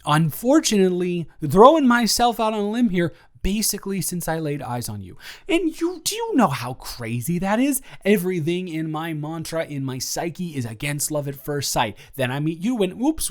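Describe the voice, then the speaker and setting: A man's voice: fairly high pitch, darkish tone. A young man playing a lovestruck roommate in an intimate audio roleplay, earnest, rambling and stammering as he nervously confesses his feelings. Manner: rambling, stammering, earnest, awkwardly self-deprecating.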